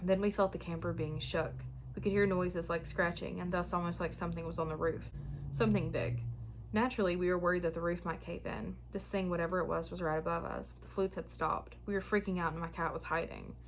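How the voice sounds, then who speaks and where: A young woman who hosts a spooky story channel, chatting frankly and then reading a listener's tale aloud, her voice starting to go hoarse. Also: lowish voice, a little fast, background noise. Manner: chatty, frank and a bit frazzled when talking, steady when reading. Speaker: horror story channel host. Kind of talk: casual chat and reading listener stories aloud.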